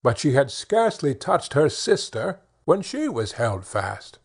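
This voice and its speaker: A man narrating a children's fairy tale in an expressive storytelling manner, with measured phrasing. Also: medium pitch, gravelly, slightly rough. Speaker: audiobook narrator.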